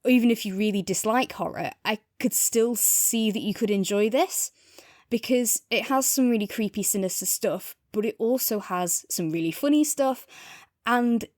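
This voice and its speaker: Woman, podcast co-host, casual review chat, enthusiastic, mid-pitched, dry recording. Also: normal speed, a little mellow, no echo.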